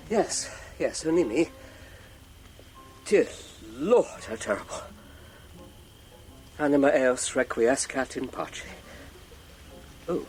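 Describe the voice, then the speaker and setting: An actor playing a shy, unworldly little priest in a radio drama, speaking in a hesitant, musing way. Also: male voice, mid-range voice, animated, slow pace, long pauses, soft background music, poor audio. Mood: shocked, sorrowful. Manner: hesitant, musing, tag questions.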